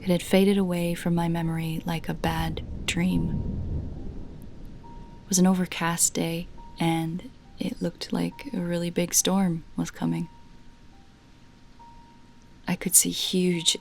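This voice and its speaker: A woman narrating a scripted first-person creepy story in a measured way, with dramatic pauses between short phrases. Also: on the low side, level tone, creaky, darkish tone, soft background music.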